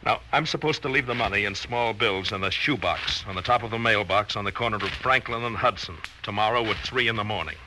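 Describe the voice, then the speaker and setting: A man's voice: fairly low pitch, a little fast, hardly any pauses, lightly acted. An actor playing a troubled, determined middle-aged ex-convict businessman in an earnest, emphatic 1940s radio drama style. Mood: grimly determined. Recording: noisy, crackly old radio recording.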